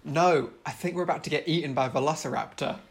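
A man's voice: a little high, fast talker, roomy sound, lightly acted. A man playing the exasperated, bossy straight man of an adventuring trio in a comic audio drama. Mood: urgent. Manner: exasperated, urgent.

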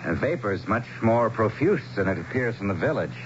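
An actor playing an earnest, anxious, educated town leader, speaking formally and haltingly as he explains, in a radio drama. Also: lowish voice, sing-song. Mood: earnest, eager. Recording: muffled, noisy 1970s radio broadcast.

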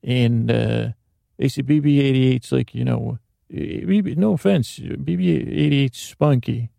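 A man hosting a sleep podcast, talking in a slow, rambling, meandering way that drifts off topic and keeps interrupting itself. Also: medium pitch, creaky, warm tone, dry recording, clean studio sound. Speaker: podcast host. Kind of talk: rambling bedtime monologue.

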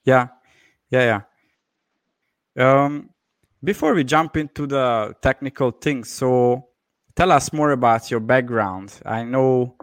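A man hosts a casual interview in a smooth, clear voice at a middle pitch. He speaks hesitantly with plenty of "yeah" and "um", recorded close and dry. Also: slow pace, long pauses, dry recording. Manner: relaxed, hesitant, frequent "yeah" and "um". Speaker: host, interviewer.

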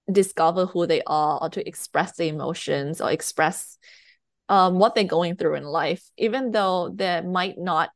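A woman's voice: fairly low pitch, no echo. A young woman from Thailand, speaking English as her second language, chatting hesitantly as a guest novelist with many fillers and laughs. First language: Thai. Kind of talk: casual interview chat.

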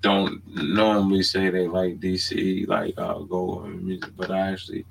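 Deep-voiced laid-back man. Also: monotone, moderate pace, gravelly, a little hiss or hum, low-quality recording. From Baltimore, USA. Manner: laid-back, rambling, fills with "you know what I mean". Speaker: podcast co-host. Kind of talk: casual chat.

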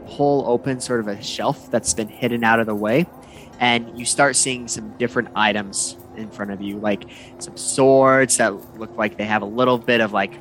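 A man's voice: medium pitch, a bit bright. A man running a tabletop game as game master, narrating loosely with many fillers.

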